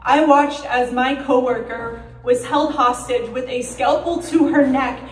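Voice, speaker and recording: A woman reciting slam poetry in a deliberate, dramatic, emotionally intense way, with pauses and emphasis. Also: sits high, fairly even intonation. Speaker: slam poet. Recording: roomy echo, distant mic.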